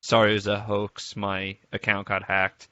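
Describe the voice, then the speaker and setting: A man reminiscing on a casual group call, thoughtful and halting, with informal phrasing. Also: fairly low pitch, completely flat delivery, sharp tone, no room sound. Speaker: participant in a memorial group call. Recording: dull, dry lo-fi call audio.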